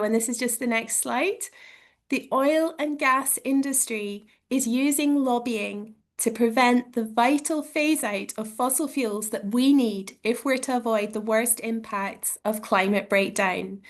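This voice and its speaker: A woman speaks over a video call with a croaky edge to her voice. She sits on the high side for a woman. Her prepared campaign talk comes across earnest and steady. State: croaky voice from illness. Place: at home, joining a meeting online.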